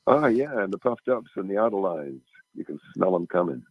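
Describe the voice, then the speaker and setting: A man voicing an excitable male saloon owner in a comic western radio play, rambling in folksy slang. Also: low voice, a little fast, slightly rough, dry recording, full character voice, rough recording. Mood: excited. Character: blustery old-West male saloon owner.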